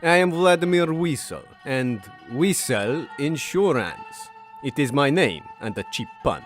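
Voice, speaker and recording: A man voicing a menacing weasel insurance salesman, deadpan and deliberate in broken English for a parody radio advert. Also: on the high side, faint music behind, pitched below the performer's own voice. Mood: smug menace.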